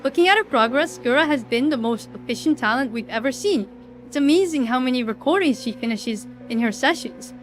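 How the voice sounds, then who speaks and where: A woman in an audio drama playing a formal, unyielding company executive with a measured, officious manner. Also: sits high, expressive, a little hiss or hum. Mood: firm, formal. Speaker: voice actor in fan audio drama.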